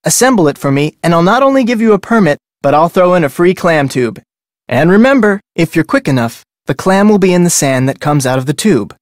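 Casting: breezy, slightly sly tour-boat operator for an adventure game, higher-pitched voice, glib and chatty, dry close recording. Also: male voice, big pitch swings, brisk, no echo. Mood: friendly, brisk. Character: chatty, salesmanlike whale-watch operator with a sly side. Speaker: game voice actor. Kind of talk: video game character dialogue.

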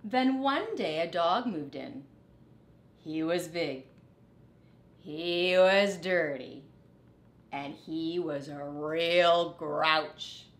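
A middle-aged woman reading a children's picture book aloud deliberately and expressively in a living room. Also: low-pitched, roomy sound. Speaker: storyteller, teacher. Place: living room, indoors on a couch.

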